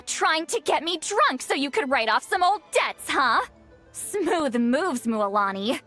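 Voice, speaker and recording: A woman's voice: high-pitched, sing-song, takes it slowly, bright, forceful tone, soft background music, exaggerated voice. A woman voicing a sly, teasing self-styled granny in a video game, knowing and accusatory. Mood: teasing accusation.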